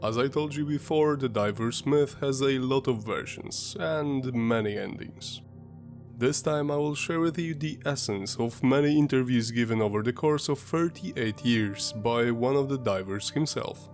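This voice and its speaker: Brief: male host, stuffy sinus-congested voice, mid-pitched, measured documentary read, faint music underneath. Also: darkish tone. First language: Polish. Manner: measured, explanatory storytelling. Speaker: history channel host. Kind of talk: scripted documentary narration.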